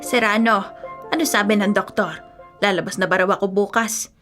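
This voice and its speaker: A woman's voice: mid-range voice, fairly even intonation, moderate pace, faint music behind, lightly acted. A woman with a Filipino accent playing a bitter, ungrateful, nagging wife in a radio drama. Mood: impatient. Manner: nagging, loud griping. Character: bitter, ungrateful, sharp-tongued wife recovering from surgery.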